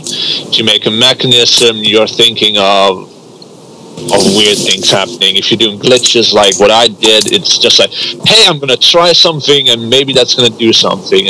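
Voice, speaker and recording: A man's voice: on the low side, background noise. A man, hosting a casual group voice chat and explaining ideas loosely. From Netherlands.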